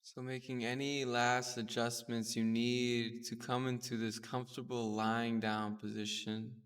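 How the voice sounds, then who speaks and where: A man leading a guided meditation with gentle, soothing, unhurried instruction. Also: medium pitch, little pitch movement, clean tone. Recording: distant mic in a reverberant space.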